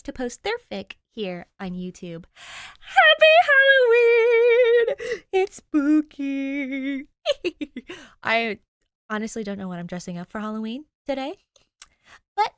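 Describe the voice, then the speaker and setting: A woman hosting and reading fan fiction aloud in an informal, bubbly, expressive way. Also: high voice, dry recording, clean studio sound. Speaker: host and reader of a fan fiction podcast. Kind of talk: casual intro chat and expressive fiction narration.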